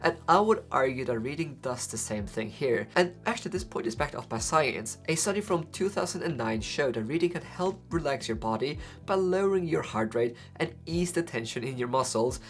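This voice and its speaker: Young Faroese-accented man talking books. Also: medium pitch. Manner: conversational, leans on rhetorical questions. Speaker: book channel host. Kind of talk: talking to camera about books.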